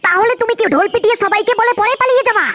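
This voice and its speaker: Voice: very high voice, rapid-fire. An actor voicing a brash, hot-headed young village lad in a comedy cartoon, argumentative, with a processed cartoon voice. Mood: insistent. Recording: loud music behind voice.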